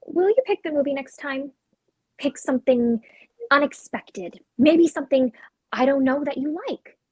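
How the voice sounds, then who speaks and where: A woman's voice: high voice, normal speed, a little mellow, lightly acted. A woman acting a restless, searching female character who longs for change, in a dramatic script reading.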